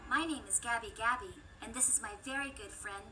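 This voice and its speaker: Storybook villain doll, woman performing: high-pitched, bright, sugary, eerily courteous. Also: fairly quick, distant mic, a little hiss or hum, rough recording. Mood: sugary polite. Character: sugary, eerie girl doll with sinister intent.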